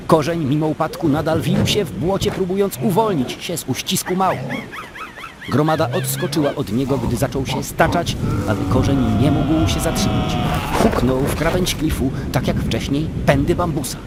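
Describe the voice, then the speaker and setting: A man narrating a scripted story as a dramatic, expressive storyteller in an audio drama. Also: mid-range voice, very noisy.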